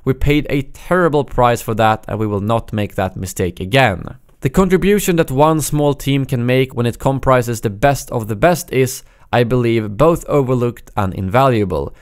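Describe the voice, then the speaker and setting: A man's voice: medium pitch, lively intonation, normal speed, clear, resonant tone, close to an everyday voice. A Swedish man reading as a stern imperial admiral. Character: stern male imperial admiral, commanding officer.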